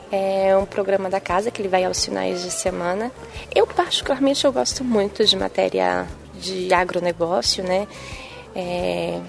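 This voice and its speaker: A young adult woman journalist with a soft Bahian accent, answering interview questions in a sing-song manner with frequent tag questions. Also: medium pitch, vocal fry. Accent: Bahian Brazilian Portuguese. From Bahia, Brazil. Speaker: TV journalist and presenter.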